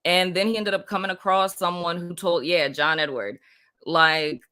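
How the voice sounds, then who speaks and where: Woman, from Puerto Rico, live stream host, casual spiritual chat, bright voice, loose rambling delivery, lots of fillers. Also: fairly low pitch, steady tone, normal speed, clear, resonant tone. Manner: rambling, full of "like" and "you know what I mean", laughs often. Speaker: livestream host.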